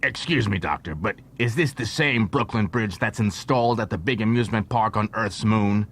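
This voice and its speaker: A man's voice: moderate pace, a bit bright, lightly acted. A man plays a stern male constable in an audiobook, formal and clipped as he questions. He sounds sceptical and official, with faint noise behind the voice. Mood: stern, probing. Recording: noisy track with low rumble.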